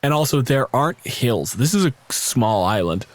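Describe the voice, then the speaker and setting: A man's voice: medium pitch, big pitch swings, normal speed, dry recording. A man acting as game master, narrating an improvised tabletop game in a casual style.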